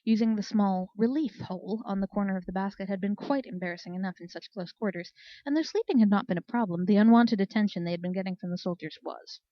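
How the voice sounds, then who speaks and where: A woman's voice: medium pitch, quick pace, no echo, muffled recording. A woman reading a scripted story aloud as an audiobook narrator. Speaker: audiobook reader.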